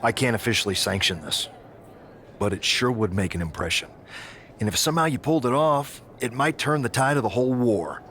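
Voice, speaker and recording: An actor playing a cautious male wrestling official in a video game cutscene, measured and conspiratorial. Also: medium pitch, expressive, fairly bright tone, slight background noise, close to an everyday voice. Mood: wary, intrigued.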